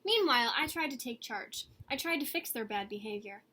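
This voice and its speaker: A woman voicing an earnest, eager good egg telling its own story from a picture book, with expressive pauses and emphasis. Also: a little high, background noise, lightly acted. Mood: exasperated, weary. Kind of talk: picture-book read-aloud.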